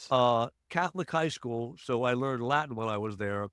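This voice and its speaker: An American man hosting a podcast interview over a remote call, chatty and quick with jokey asides. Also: medium pitch, a bit bright, clean tone, dry recording, studio quality. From United States. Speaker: podcast host. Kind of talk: casual interview chat.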